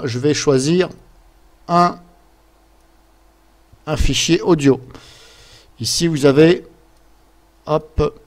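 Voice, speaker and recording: A man gives a casual, improvised screen-share tutorial, hesitant and rambling as he goes step by step into his computer microphone. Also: on the high side. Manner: rambling, hesitant, step-by-step, fond of filler words. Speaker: software tutorial presenter.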